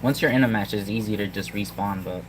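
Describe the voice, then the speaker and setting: A man with a Puerto Rican accent chatting casually to his viewers about a handheld gaming device, halting with lots of ums and restarts. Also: lowish voice, noisy background. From Puerto Rico. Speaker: tech vlogger. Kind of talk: casual rambling tech talk to viewers.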